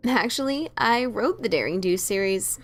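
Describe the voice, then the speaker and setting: A woman's voice: medium pitch, expressive, moderate pace. A woman voicing a cocky, wry female pegasus adventurer-author in a fan audio drama. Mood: wry, confident.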